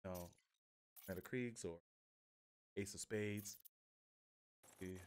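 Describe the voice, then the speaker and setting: A man giving casual, halting commentary as he livestreams a game and walks viewers through it, thinking aloud. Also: deep voice. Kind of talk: casual gameplay commentary and walkthrough. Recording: clearly noisy home stream audio.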